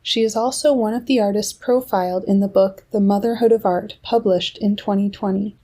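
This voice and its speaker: A woman with a mellow, warm voice reads a guest introduction for her podcast. Her delivery is measured and steady, clearly from a script. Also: mid-range voice. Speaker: podcast host.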